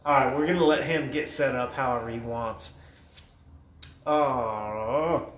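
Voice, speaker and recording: A man's voice: mid-range voice, takes it slowly. A man hosting a casual livestream podcast, chatty and loose, swearing casually. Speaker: host. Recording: echoey room with faint noise.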